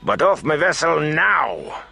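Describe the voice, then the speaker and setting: A man voicing a gruff, commanding riverboat captain in an audiobook, with blustering, forceful delivery and rustic sailor grammar. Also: fairly high pitch, big pitch swings, slow, faint music behind, pitched above the performer's own voice, full character voice. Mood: furious.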